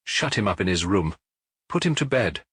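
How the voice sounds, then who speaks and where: A man's voice: deep voice, big pitch swings, normal speed, a bit bright, breathy edge, no echo, clean studio sound, heavily acted. A man voicing a brusque, suspicious, tight-lipped woman who runs a boarding house in an audiobook. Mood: snappish.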